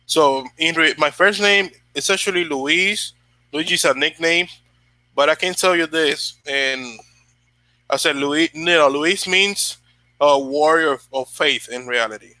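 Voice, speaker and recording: Young man, from the Dominican Republic, bright voice, dry close sound, rambling motivational stream talk. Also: on the high side, a little slow, no room sound, poor audio. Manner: rambling, many fillers and restarts, reads live chat. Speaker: channel host. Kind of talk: casual motivational livestream talk.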